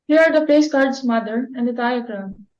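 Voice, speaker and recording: A woman's voice: fairly high pitch, moderate pace, a little mellow, close to an everyday voice. A young woman reading the part of a young debutante daughter, plain and mildly reluctant. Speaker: reader for Elaine. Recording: dull lo-fi recording.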